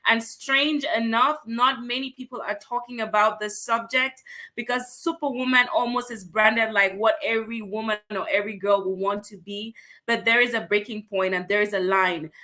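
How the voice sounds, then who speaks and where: Upbeat bright-voiced woman hosting a show. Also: a little high. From Cameroon. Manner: upbeat, enthusiastic welcoming. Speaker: host.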